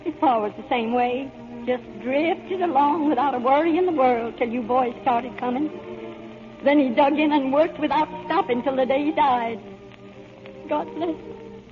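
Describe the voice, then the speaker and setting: A woman's voice: high voice, moderate pace, music in the background, close to an everyday voice. An actress playing a tender, plain-spoken widowed mother of grown sons, in 1940s radio drama style. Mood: wistful, proud. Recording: thin, narrow-band old radio broadcast.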